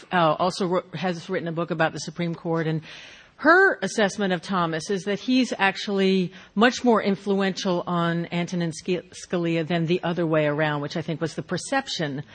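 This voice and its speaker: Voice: medium pitch, moderate pace, fairly bright tone. A radio interviewer asking probing questions and brief follow-ups.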